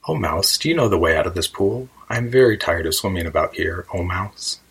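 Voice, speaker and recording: A man's voice: sits low, animated, a little fast, fairly bright tone, slightly rough, full character voice. A man voicing a polite, chatty, bewildered little girl in a children's storybook, earnest and apologetic. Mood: puzzled, tentative.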